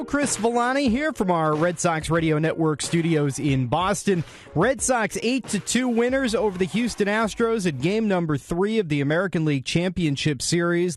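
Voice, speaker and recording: A man hosts a sports radio post-game show. His voice sits a bit high for a man. The studio sound is dry and close, and he delivers a running recap with commentary. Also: no room sound. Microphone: close broadcast studio mic.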